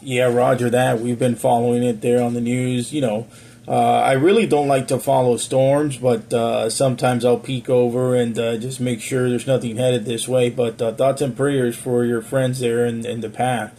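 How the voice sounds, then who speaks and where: A man chatting casually over amateur radio as an operator, courteous and measured in his radio procedure. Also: mid-range voice, flat delivery, gravelly, warm tone. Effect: amateur radio transmission. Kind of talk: casual two-way radio chat. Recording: radio audio with faint hiss.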